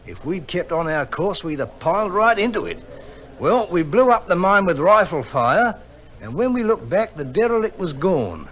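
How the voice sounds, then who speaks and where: British tugboat sailor, radio drama. High, lively voice, colloquial working-class yarn-spinner. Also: male voice, moderate pace, poor audio. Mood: animated yarn-spinning. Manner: animated, colloquial storytelling.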